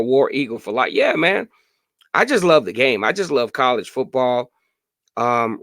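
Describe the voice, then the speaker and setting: A hoarse man in his late thirties hosting a casual sports podcast live stream, chatting freely and answering viewer comments. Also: medium pitch, a bit bright, no echo, studio quality. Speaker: podcast host.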